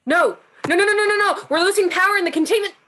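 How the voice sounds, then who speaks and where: A woman's voice: extremely high pitch, fast talker, bright, forceful tone. A woman reading the part of an earnest lab scientist dictating experiment logs in a table reading. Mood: panicked. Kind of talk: online script table reading.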